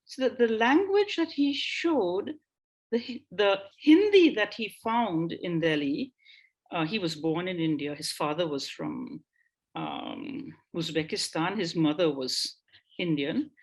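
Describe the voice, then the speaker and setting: A woman giving an online lecture over a video call, thinking aloud with frequent pauses and restarts. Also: fairly low pitch, sing-song. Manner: reads out an old text in a slower voice. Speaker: guest lecturer on language history.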